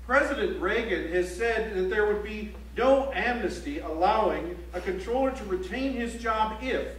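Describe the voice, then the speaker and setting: Formal newsman for a one-act stage play, acted by a man: high register, measured declarative delivery, roomy echoing sound. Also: lively intonation, fairly bright tone. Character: male news reporter reciting strike reports in measured tones. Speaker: stage actor. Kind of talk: theatrical recitation of news reports. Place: library auditorium stage, live play. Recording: echoey auditorium from far off, faint hum.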